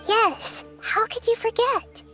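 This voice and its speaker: A woman playing a small, gentle, wise nature deity in a video game, speaking in a measured, thoughtful way. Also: very high-pitched. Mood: inquisitive. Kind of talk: scripted game dialogue. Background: faint game music.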